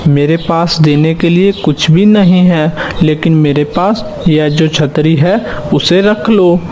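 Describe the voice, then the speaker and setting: A man voicing a hungry, kindly uncle crow in a children's bird cartoon, with drawn-out folksy speech. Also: a little high, darkish tone, a little hiss or hum. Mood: grateful, generous.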